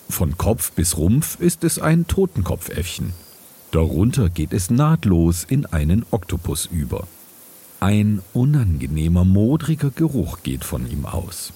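Slow, dark, husky man narrating. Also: on the low side. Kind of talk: scripted-sounding narration in an improvised adventure audio play.

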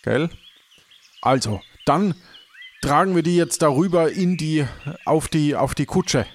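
A man playing a helpful, naive gatekeeper in an improvised pirate audio play, talking in a casual, halting way. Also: fairly high pitch, lightly acted. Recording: faint background noise.

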